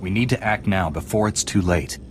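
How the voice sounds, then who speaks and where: An actor playing a calm, resolute space knight hero in a video game, speaking in a measured, earnest way. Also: male voice, low-pitched, noisy background, lightly acted. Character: calm, resolute heroic Jedi knight, adult male. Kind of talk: video game character acting.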